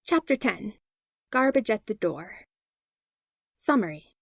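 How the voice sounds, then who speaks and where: A woman's voice: medium pitch, big pitch swings, takes it slowly, creaky, no echo. Woman reading a story, dry close sound. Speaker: podfic reader. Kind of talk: scripted narration.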